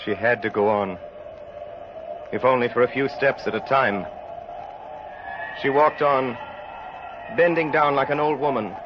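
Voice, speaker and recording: A man's voice: medium pitch, close to an everyday voice. A man narrating an old radio drama as a grave, suspenseful storyteller, intense and theatrical. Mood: dread. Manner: intense, theatrical, deliberate phrasing. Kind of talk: dramatic scripted radio narration, 1930s-40s. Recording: dull, noisy old radio recording.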